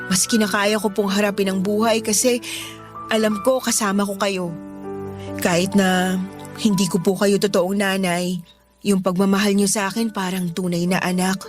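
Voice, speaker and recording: A woman's voice: medium pitch, level tone, normal speed, over music, lightly acted. A woman playing a sensitive, grateful young woman in a Filipino radio drama, respectful and emotional. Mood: grateful, comforting. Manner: soft-hearted, respectful, emotional.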